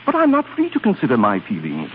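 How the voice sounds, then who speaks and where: A smooth, evasive male suitor in a 1930s radio drama, swooping theatrically up and down in pitch. He talks down to his sweetheart with polished condescension, through a narrow, noisy old broadcast recording. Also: huge pitch swings, quick pace. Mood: placating.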